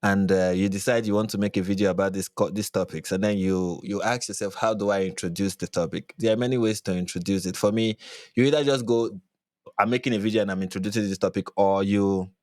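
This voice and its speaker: A man's voice: on the low side, steady tone, fast talker, darkish tone, dry recording, studio quality. A Nigerian man speaking casually as an interview guest, explaining his views emphatically and repeating phrases. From Lagos, Nigeria. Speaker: YouTube commentator, podcast guest.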